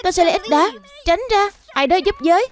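A performer voicing an excitable, childlike little bear cub with urgent, exclamatory delivery in a children's cartoon. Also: extremely high pitch, brisk, a crowd in the background. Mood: urgent, excited. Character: excitable little bear cubs, bold and squabbling.

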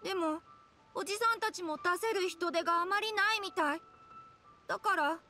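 Casting: earnest little boy for anime game, very high bright voice, music faintly behind. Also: normal speed. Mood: worried. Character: earnest young boy worried about his missing father. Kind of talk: Japanese anime game voice acting.

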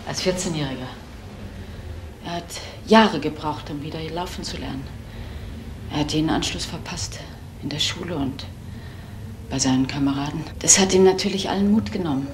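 A woman plays a middle-aged, careworn mother with a very low voice. She is subdued and wistful, in retro crime radio drama with faint recording noise. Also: normal speed, pauses often, natural voice. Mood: worried, resigned. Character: resigned, worried, devoted mother of a grown son. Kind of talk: German crime radio play acting.

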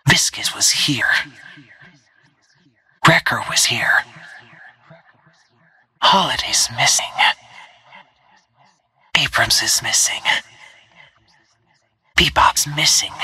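A stealthy female assassin from a video game, cool and controlled. Her voice is very breathy and husky, slipping into whispers, with a sharp, tense edge. She delivers terse tactical callouts extremely slowly and deliberately. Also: deep voice, no room sound, exaggerated voice.

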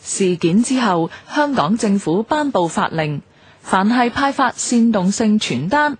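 A woman radio presenter with a Hong Kong Cantonese accent, reading a scripted documentary in a formal, measured way. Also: mid-range voice, animated, breathy edge, dry recording.

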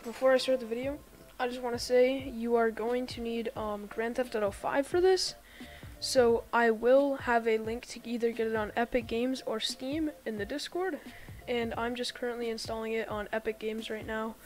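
A woman with a sick-sounding voice, chatting casually to viewers as she talks them step by step through a computer setup. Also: fairly high pitch. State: sick, voice a bit off. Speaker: YouTube tutorial creator. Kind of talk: casual screen-recorded tutorial voice-over.

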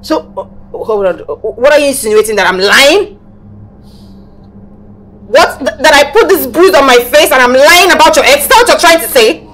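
A woman's voice: high-pitched, expressive, brisk, low-quality recording. An actress playing a hurt, indignant girlfriend in film dialogue, emotional, emphatic and accusing. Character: hurt, indignant young girlfriend, wronged and confrontational.

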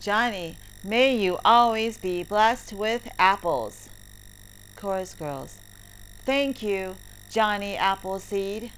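A woman narrating a story lesson for English learners, reading deliberately word by word. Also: medium pitch, lively intonation, low-quality recording. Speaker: teacher narrator. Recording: heavy background noise, clicks.

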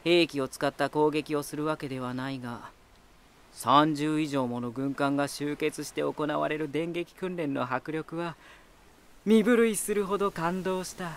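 An actor playing a teasing, talkative lighthouse spirit in an anime-style voice drama, boyish and self-assured with an unsettling edge. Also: male voice, on the high side, measured pace, clear, resonant tone. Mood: intense narration. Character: playful male lighthouse spirit, teasing, talkative, eerily battle-hungry.

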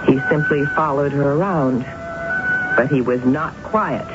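A woman's voice: sits low, a little slow. A woman playing a stern, brooding unmarried sister in 1970s radio drama, clipped and reproachful, with a melodramatic way of narrating. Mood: brooding, distraught. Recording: muffled 1970s radio recording, loud music.

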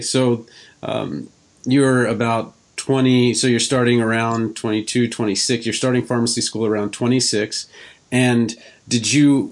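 Low-voiced middle-aged man hosting a podcast. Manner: rambling, self-rephrasing questions. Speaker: podcast host, interviewer. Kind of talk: casual conversational interview.

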